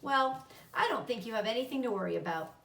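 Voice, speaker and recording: A woman's voice: a little high, animated, fast, gravelly, fairly bright tone. Woman as an unworried old country doctor, echoey. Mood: pondering. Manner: musing, reassuring, philosophical. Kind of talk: children's book read-aloud. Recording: roomy echo, distant microphone.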